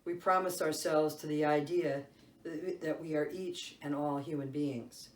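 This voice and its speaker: Higher-pitched man reading, distant and echoey. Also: steady tone, a little slow. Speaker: worship reader. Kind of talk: solemn read-aloud liturgical reading. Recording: roomy echo, distant mic, faint noise.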